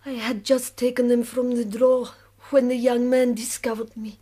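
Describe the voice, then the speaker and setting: An actress playing a proud, weary middle-aged revolutionary woman in a radio drama, speaking slowly and deliberately. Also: on the high side, flat delivery, warm tone, slightly rough. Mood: bitter, grave. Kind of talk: BBC radio drama acting.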